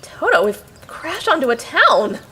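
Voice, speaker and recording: A woman's voice: sits high, very animated, a little slow, pushed and bright, heavily acted, rough recording. A woman playing a bewildered, earnest young girl in an audio drama, flustered and stammering. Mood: shaken, concerned.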